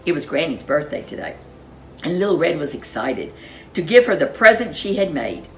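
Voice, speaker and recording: Low-voiced woman telling a lively children's story. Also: a little hiss or hum. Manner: animated, dramatic emphasis with drawn-out words. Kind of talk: expressive storybook narration for young children.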